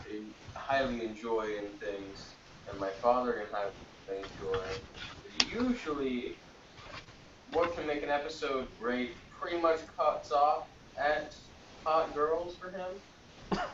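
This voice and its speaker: Young man, blunt casual critique, halting delivery, dull muffled sound, clear background noise. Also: medium pitch. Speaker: podcast panelist reviewing TV episodes. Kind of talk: casual critical review chat. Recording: roomy, distant, dull, noisy mic.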